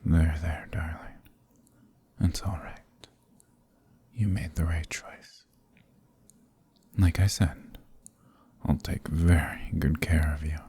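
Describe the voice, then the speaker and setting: A man playing a centuries-old, possessive and sadistic vampire lord in an intimate ASMR roleplay, husky and often whispering, with a commanding, condescendingly tender manner. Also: very deep voice, steady tone, takes it slowly, long pauses, dark tone, exaggerated voice. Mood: possessively soothing.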